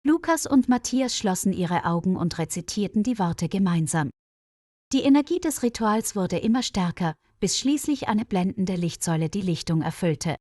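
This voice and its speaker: A woman's voice: medium pitch, expressive, moderate pace, darkish tone, no room sound. A woman reads a scripted mystery tale as a storyteller. The recording is very dry, with no room sound. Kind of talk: scripted narration of a mystery story for German learners.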